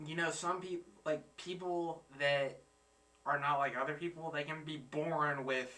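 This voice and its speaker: A young man in his twenties hosts a casual reaction chat, halting and searching for his words as he works through an idea. His voice is very bright and sharp and sits a little high. He sounds set back from the microphone. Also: fairly even intonation, recorded from a distance. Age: 20s. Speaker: reaction channel host.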